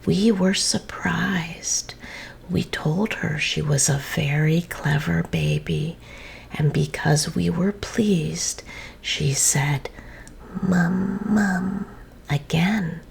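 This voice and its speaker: A woman reads a children's story in a soft, slow whisper, lingering over every phrase. Her voice sits low and carries a strong gravelly texture. A faint hiss of noise lies underneath.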